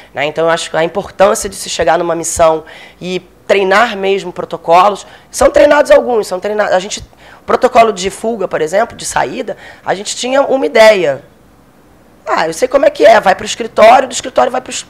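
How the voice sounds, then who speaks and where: A woman in her thirties from Brazil, a military officer giving a conversational lecture into a handheld microphone in a small room, with frequent fillers. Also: lowish voice. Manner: conversational, many fillers, gestures while speaking. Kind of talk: informal lecture. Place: small meeting room, indoors.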